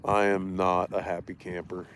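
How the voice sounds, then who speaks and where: A man with a fairly low voice rambles casually to a live-stream audience. He is laid-back and self-deprecating and sounds a little congested from allergies. He is recorded outdoors, with faint noise of wind and water behind him. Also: completely flat delivery, normal speed, fairly bright tone. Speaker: fishing livestreamer. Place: outdoors beside a reservoir.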